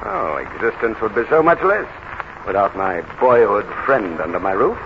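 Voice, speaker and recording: An actor playing a bitter, mocking, tormented master of the house in theatrical 1930s radio style. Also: male voice, fairly low pitch, big pitch swings, normal speed. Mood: sardonic. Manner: sardonic, theatrical. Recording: muffled, noisy 1939 radio recording.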